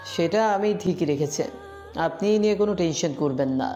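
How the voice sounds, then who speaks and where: Woman acting wary gangster aide, dark low voice. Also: moderate pace, soft background music, natural voice. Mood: wary, dutiful. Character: loyal wary male gangster aide, deferential. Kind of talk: amateur Bengali audio-story acting.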